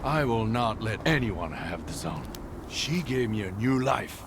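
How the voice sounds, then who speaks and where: Strelok, a bitter hardened stalker. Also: male voice, fairly low pitch, lively intonation, a little slow. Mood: defiant. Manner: bitter, intense, defiant. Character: hardened middle-aged male stalker, obsessive protector. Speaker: game character. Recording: noisy game ambience.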